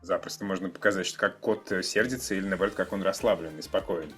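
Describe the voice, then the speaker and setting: A man chatting casually, musing in a halting way as he searches for his words. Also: low-pitched, fairly bright tone, faint music behind. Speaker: podcast participant.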